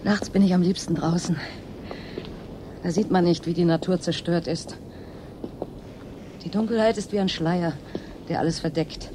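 A woman playing a weary, sharp-tongued yet wistful researcher in a German radio drama. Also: lowish voice, steady tone, normal speed, long pauses, close to an everyday voice. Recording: clear ambient noise behind.

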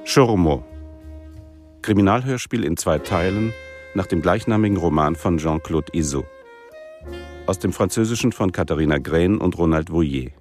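A man's voice: deep voice, animated, moderate pace, darkish tone. A man announcing the title and cast of a radio drama in a scripted, formal read. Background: guitar and saxophone music.

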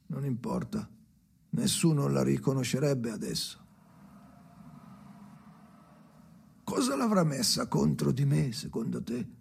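A gravelly, haunted, brooding older man. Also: mid-range voice, a little mellow, heavily acted. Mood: weary, resentful. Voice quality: raspy, gravelly, often sinking to a whisper. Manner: slow fragmented phrases, brooding, halting. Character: tormented ageing man haunted by his dead father, brooding, bitter. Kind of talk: avant-garde radio drama monologue.

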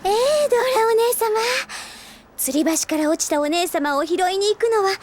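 A woman in a Japanese audio drama playing a polite young apprentice sorceress, prim and ladylike but quietly sharp-tongued. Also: very high-pitched, fairly quick, slight background noise. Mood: sweetly sarcastic.

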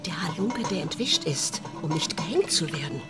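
A woman's voice: low-pitched, very animated, normal speed, over loud music. A woman playing a gossiping convent housekeeper who tells grim news emphatically in a radio play. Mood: gossipy, dramatic. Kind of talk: German radio play acting.

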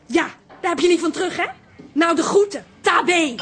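An actress playing an insecure, feisty young daughter in a radio drama, blunt and plaintive. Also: female voice, extremely high pitch, normal speed, a bit bright. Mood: indignant.

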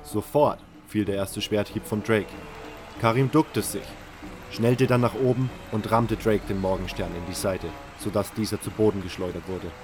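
A man's voice: lowish voice, steady tone, moderate pace, music in the background. A man narrating a scripted audio drama story.

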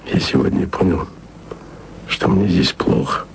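An actor playing a lovesick, weary, jealous radio worker in an intimate, murmured radio play monologue. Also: male voice, unhurried, a little hiss or hum. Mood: brooding, jealous. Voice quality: deep gravelly voice.

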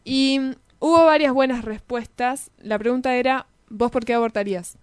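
Clear, high-voiced Argentine woman radio host. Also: moderate pace, no echo, clean studio sound. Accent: Argentine Spanish. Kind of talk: casual live radio presenting. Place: radio studio booth. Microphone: studio microphone with headphones.

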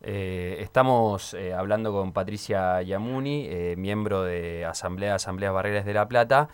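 Hesitant Chilean man, smooth low voice. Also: moderate pace. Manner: hesitant, many filler sounds. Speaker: radio interviewer. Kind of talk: radio interview. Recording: community radio studio.

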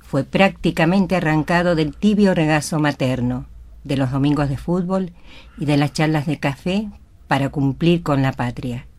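A woman giving a solemn, literary narration for a radio drama in a measured way. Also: deep voice, darkish tone, natural voice. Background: faint music.

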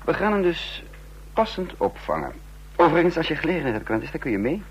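A man's voice: fairly high pitch, big pitch swings, quick pace, husky, rough recording. A man acting in a Dutch radio play as a self-assured intelligence chief, dry and matter-of-fact. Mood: brisk. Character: self-assured, dry intelligence chief narrating his own spy story.

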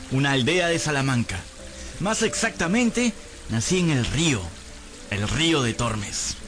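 Pícaro narrador, voz aguda y expresiva. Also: male voice, fairly high pitch. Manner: theatrical, storytelling. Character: grown picaresque rogue telling his life story, wry. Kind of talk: dramatised first-person radio narration.

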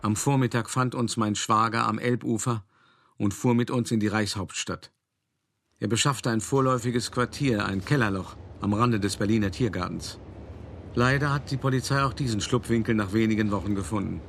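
An actor playing an elderly, wry and weary art dealer who recounts his life in a measured, dramatic way in a radio play monologue. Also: fairly low pitch, fairly bright tone, a little hiss or hum. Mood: wry recollection. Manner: measured, dramatic, cultivated raconteur.

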